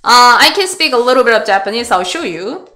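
A Korean woman in her thirties, a Go teacher answering chat questions on a webcam video call, chatty and halting in English with a Korean accent. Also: a little high, lively intonation, pushed and bright, a little hiss or hum. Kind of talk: live online lecture Q&A. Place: small room indoors.

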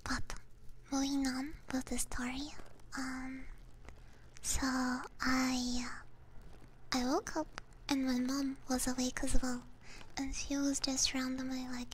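A woman whispering hushed, casual ASMR chat to viewers, halting and close on a binaural microphone. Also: high-pitched, level tone, low-quality recording. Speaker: ASMR streamer. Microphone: close binaural ear microphone. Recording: clear background noise.

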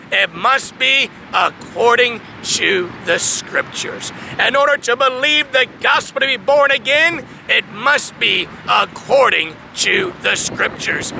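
Preacher, man in his thirties: high, gravelly voice, slow and emphatic, outdoors with audible traffic behind. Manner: emphatic, preaching with swells and drops, reads verses aloud. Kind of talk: outdoor sermon reading scripture. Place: large open field outdoors. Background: distant traffic noise.

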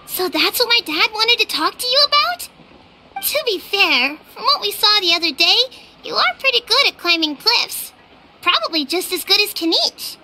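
A woman's voice: extremely high pitch, big pitch swings, moderate pace, noisy background, full character voice. A woman voicing a stubborn, blunt young village girl in a video game, with a youthful, huffy delivery. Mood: curious. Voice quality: youthful, girlish. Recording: game music behind.

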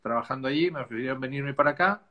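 A man in his fifties from Spain with a Spanish accent softened by years in Chile, a winemaker chatting casually and at length on a video call. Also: medium pitch, racing, lo-fi sound. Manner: chatty, rambling, laughs easily. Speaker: winemaker guest. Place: dark room at home. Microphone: video call, laptop camera.

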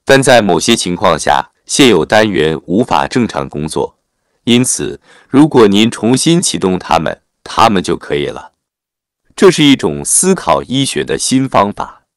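A man narrating a written article in a formal, measured read-aloud manner. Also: sits low, very animated, no room sound, clean studio sound.

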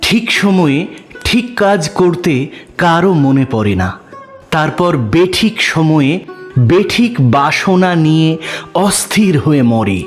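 Guy reading an old story out loud really slow, voice a bit high, soft music under it. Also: sing-song. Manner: slow, measured storytelling with wide dips in pitch. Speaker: narrator. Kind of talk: scripted audiobook narration.

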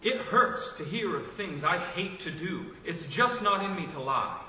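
A man's voice: high-pitched, animated, unhurried, sharp tone, full character voice. A man playing a principled young soldier of ancient Greece in a dramatic play reading. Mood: earnest, reluctant. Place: large hall, panel table microphones. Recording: echoey, noisy hall, distant microphone.

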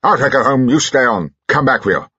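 A man voicing a stiff, gruff older general who talks in clipped fragments in a novel reading. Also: medium pitch, expressive, brisk, bright, forceful tone, dry recording, highs cut off, pitched above the performer's own voice, full character voice. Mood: curt, brisk. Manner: clipped fragments, ending in "what?".

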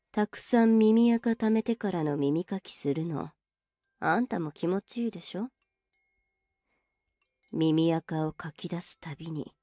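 A woman playing a young, teasing older sister in an anime ASMR roleplay, scolding yet soft and intimate, close to the microphone. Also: fairly low pitch, dry recording. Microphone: very close, dry microphone.

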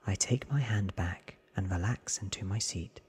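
A man in his thirties reading a novel aloud in a hushed, whispery ASMR style, close to the microphone. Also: deep voice. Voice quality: breathy, whispery. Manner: slow, hushed, deliberate. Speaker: ASMR story reader. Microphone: close microphone with foam windscreen.

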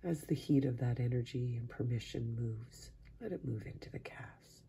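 A man softly guiding a sleep meditation in a hushed, breathy voice that often sinks into a whisper, slow and soothing with long pauses. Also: mid-range voice. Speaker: meditation guide. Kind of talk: guided sleep meditation, soft-spoken.

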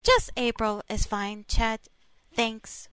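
A woman playing a friendly, curious young lab intern in a comic dub, perky and expressive. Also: a little high, takes it slowly, smooth. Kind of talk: comic book dub acting.

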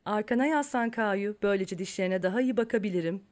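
A woman's voice: medium pitch, quick pace, noisy background, lightly acted. A woman voicing a friendly, reassuring female dental worker in a cartoon, encouraging and kindly explaining.

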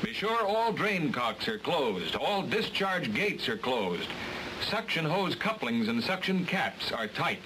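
A man's voice: on the high side, very animated, takes it slowly. A man giving scripted, matter-of-fact instructional narration for a training film. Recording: hissy old film transfer.

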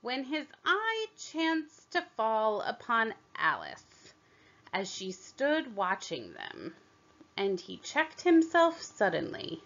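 A woman reading a children's story aloud in deliberate, expressive narration, on a slightly dull recording. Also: fairly high pitch. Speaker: storybook reader.

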